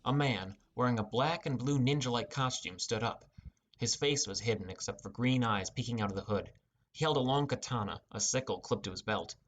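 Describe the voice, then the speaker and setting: A man's voice: mid-range voice, brisk. A man narrating a scripted first-person fantasy adventure as an audiobook.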